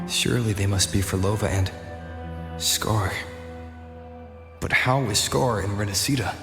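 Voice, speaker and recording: An actor playing a calm, decisive young male hero in a video game, with an understated, reassuring manner. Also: fairly low pitch, unhurried, over music. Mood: thoughtful.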